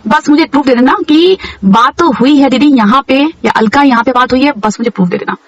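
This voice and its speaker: A woman's voice: high-pitched, fairly quick, dry recording, distant mic, lo-fi sound. A woman in a heated, informal phone conversation, speaking emphatically and repeating words insistently, on a call recording.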